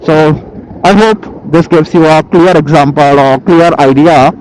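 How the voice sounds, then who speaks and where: A man with an Indian accent giving a casual, halting product review as a motovlogger, speaking into a helmet intercom microphone. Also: a little high, darkish tone, poor audio. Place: outdoors, riding a motorcycle. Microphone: Bluetooth helmet intercom microphone. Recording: helmet intercom mic with vehicle noise.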